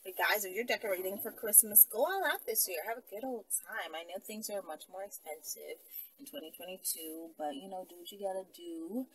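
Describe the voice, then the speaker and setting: A woman's voice: medium pitch, a little fast, a bit bright, distant mic, a little hiss or hum, poor audio. A woman hosting a casual, rambling monologue for her followers, talking in a bossy, playful, slangy way. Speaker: host addressing followers. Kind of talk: casual, rambling monologue giving instructions to listeners.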